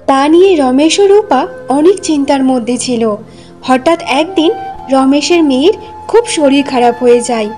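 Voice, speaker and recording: Woman storyteller for a cartoon tale: high voice, scripted narration, light music underneath. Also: a little mellow.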